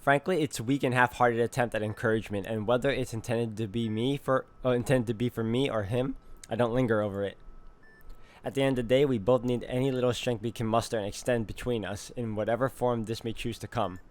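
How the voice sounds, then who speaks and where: A man reading introspective first-person visual novel narration in a measured way. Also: mid-range voice, steady tone. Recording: faint music under the voice. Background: music.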